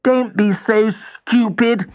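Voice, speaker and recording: A storyteller voicing a grumpy male jack-in-the-box toy who whines his complaints in a high, slow, drawn-out voice. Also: steady tone, a little mellow, lightly acted. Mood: scornful.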